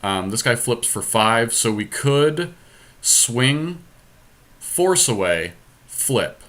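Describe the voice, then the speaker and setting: A man's voice: medium pitch, lively intonation, very slow, fairly bright tone. A man hosting a podcast, thinking aloud through his options as he gives casual commentary on a card game he is playing.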